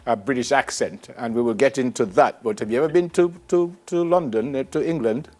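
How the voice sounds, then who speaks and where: A man's voice: mid-range voice, darkish tone. Man hosting morning TV. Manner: halting, repeats words while asking. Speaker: morning show host. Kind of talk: live TV interview.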